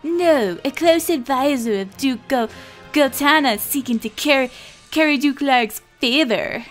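A woman voicing a halting young male captive confessing a plot in an amateur video game dub. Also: sits high, soft background music.

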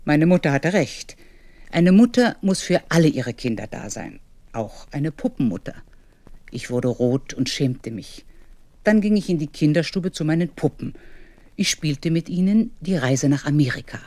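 A woman's voice: deep voice, animated, moderate pace, mellow, no room sound. An actress playing a reflective grandmother who tells of her own childhood as a radio play narrator. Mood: reminiscing. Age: older.

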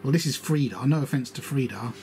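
A man's voice: mid-range voice, fast talker, dark tone. A man giving casual, grumbling and opinionated running commentary as an aviation hobbyist. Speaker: aviation hobbyist narrating radio scanner and flight tracker. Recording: radio and ambient noise behind voice.

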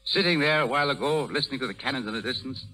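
An actor playing a sly, self-serving schemer in a 1930s radio drama, suave, formal and wheedling. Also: male voice, mid-range voice, rapid-fire, bright, forceful tone, lightly acted, poor audio. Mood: suave, evasive. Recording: old 1930s radio broadcast.

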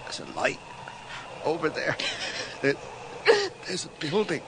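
An actor playing a desperate injured man in a radio drama, weak, halting and strained with pain. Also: fairly high pitch, animated. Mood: weak, urgent. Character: badly hurt, desperate man trapped in wreck. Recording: very noisy vintage radio drama.

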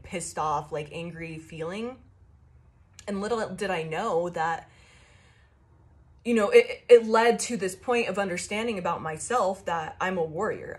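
A young woman doing a casual tarot reading to her phone camera in a small room, with a loud, carrying voice and a rambling, profane way of talking full of fillers. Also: on the low side. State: shaky. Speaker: tarot reader.

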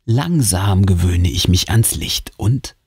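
A man narrates a horror audiobook with theatrical suspense. His voice is slightly low and husky, swinging widely in pitch, and the sound is close and dry. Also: animated, takes it slowly, a little mellow, dry recording. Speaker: host and narrator reading a horror story. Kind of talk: dramatic audiobook storytelling.

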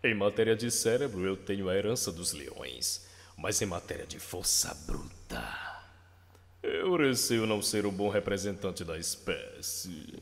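A sly, bitter cartoon lion villain with Brazilian speech. The voice is fairly low, languid and sardonic, with lively swings, in long reverb. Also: male voice, unhurried, gravelly, full character voice. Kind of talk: cartoon fan dub.

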